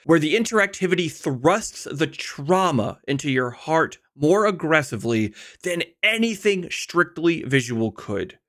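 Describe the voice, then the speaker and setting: A man narrating a scripted video essay in an emphatic, conversational way, speaking straight to the viewer. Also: a little high. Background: background music.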